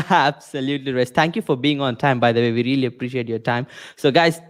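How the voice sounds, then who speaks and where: A man's voice: mid-range voice, fairly quick. A man, hosting a podcast and hyping his guest, piling on words like amazing and crazy. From India. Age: 20s. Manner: hype-driven, repeats "amazing" and "crazy". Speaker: podcast host. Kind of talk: podcast interview.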